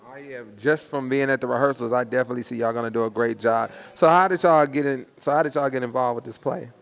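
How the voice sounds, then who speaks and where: A man's voice: medium pitch, quick pace, gravelly. A man hosting a promotional interview in slangy hype talk, often ending phrases with "man". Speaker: host.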